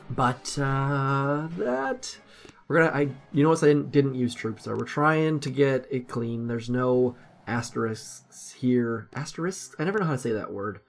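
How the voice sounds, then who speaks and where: Gravelly-voiced man chatting over gameplay. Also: medium pitch. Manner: chatty, self-deprecating, thinks aloud. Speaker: gaming channel host. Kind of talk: casual gameplay commentary. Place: home room.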